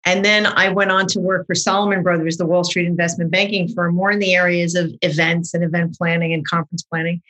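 Chatty middle-aged woman, animated storyteller. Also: lowish voice, a little fast, few pauses, rough recording. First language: French. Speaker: marketing consultant guest. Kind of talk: conversational interview.

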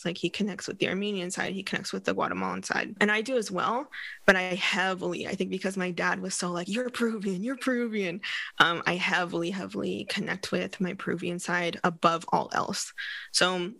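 Woman speaking candidly, creaky and bright. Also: lowish voice, flat delivery, moderate pace, dry recording. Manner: casual, reflective, frequent "like". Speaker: interview guest. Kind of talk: candid personal conversation.

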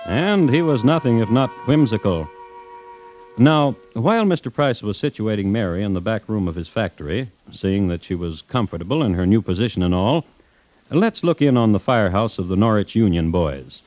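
Wry radio storyteller over music. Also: male voice, on the low side, big pitch swings, normal speed, darkish tone. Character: wry, sentimental male storyteller. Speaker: narrator. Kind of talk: scripted radio drama narration. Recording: muffled old radio broadcast.

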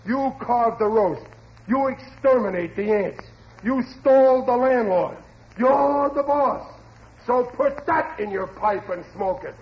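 Blustering high-voiced dad. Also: male voice, slow. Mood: indignant. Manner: exasperated, blustery, muddled sayings. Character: blustering, stubborn, bumbling working-class father. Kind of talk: 1940s radio sitcom acting. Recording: dull, noisy old radio recording.

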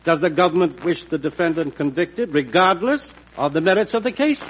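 An actor playing a sober, authoritative presiding judge in a classic radio drama, formal and deliberate. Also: male voice, on the high side, expressive, slightly rough, muffled recording. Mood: stern, formal. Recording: noisy, band-limited old radio recording.

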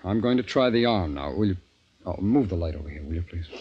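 An actor playing a driven, uneasy scientist in an intense, halting 1950s radio drama style, secretive and insistent. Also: male voice, deep voice, lively intonation, slightly rough, highs cut off. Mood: tense, focused. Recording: noisy 1950s radio recording.

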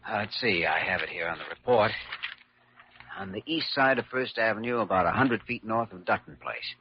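Actor as low-voiced earnest doctor, old radio. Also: male voice, expressive, normal speed, a little hiss or hum, lightly acted. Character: earnest, compassionate, determined young male hospital doctor. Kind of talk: classic radio drama acting. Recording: muffled, humming old radio recording.

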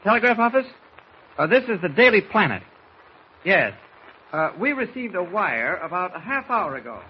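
An actor playing a mild-mannered but brisk, purposeful newspaper reporter in casual 1940s radio-drama style. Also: male voice, high-pitched, big pitch swings. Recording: muffled, hissy vintage radio recording.

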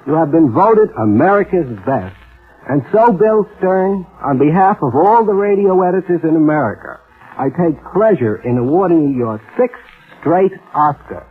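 A man speaking as a guest presenter, giving a formal, scripted award speech in measured oratory on old radio. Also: on the high side, sing-song, husky. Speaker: guest presenter, trade paper radio editor. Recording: tinny vintage radio broadcast.

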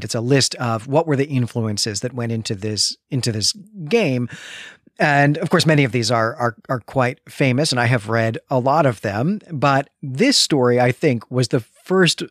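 A man's voice: medium pitch, dry recording, studio quality. A man co-hosting a book podcast, recapping a story conversationally with wry asides. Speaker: podcast co-host.

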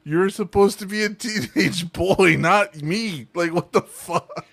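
A man with a deep voice hosting a casual chat among voice actors, swearing freely, laughing a lot and talking with many fillers. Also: slow pace, vocal fry, a bit bright, no echo. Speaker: podcast host.

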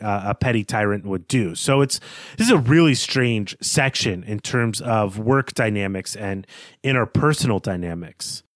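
A male podcast co-host talks about literature in a mid-pitched voice. He lays out his points analytically and with measure. The sound is close and dry, with very little room in it. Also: no echo.

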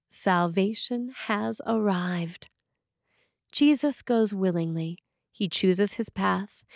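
Woman, slow deliberate delivery, solemn scripted sermon reading, very dry close sound. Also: mid-range voice, creaky, a little mellow, no echo. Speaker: Episcopal priest reading a sermon written by a colleague. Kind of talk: read sermon, scripted narration.

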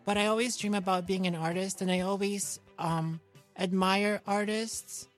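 Woman from New York, interview guest, medium pitch, simple self-deprecating chat, faint music behind. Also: steady tone, normal speed. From New York, United States. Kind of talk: casual chat.

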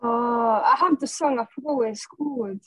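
A woman's voice: fairly high pitch, moderate pace, dry recording, poor audio. A woman guest on a video call, answering hesitantly with fillers. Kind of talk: casual chat over video call.

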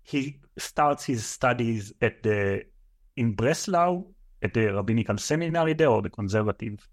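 A man's voice: medium pitch, animated, moderate pace. Israeli-accented man explaining at length. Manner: thoughtful, listing at length with fillers. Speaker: guest scholar. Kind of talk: academic interview answers.

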